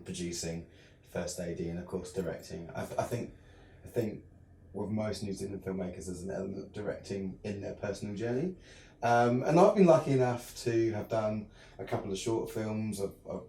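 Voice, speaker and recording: A man's voice: fairly low pitch, roomy sound, far from the mic. A young English man from the south of England with a southern English accent, chatting casually in an interview with lots of ums and restarts. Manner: relaxed, many ums and restarts. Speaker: interview guest, filmmaker.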